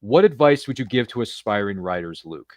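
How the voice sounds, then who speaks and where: A man's voice: lowish voice, animated, fairly quick, no echo, studio quality. A man hosting a podcast, chatting casually and jokingly, quoting pop culture and reading out viewer comments on a livestream. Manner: jokey, pop-culture quoting, repeats phrases. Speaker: podcast host.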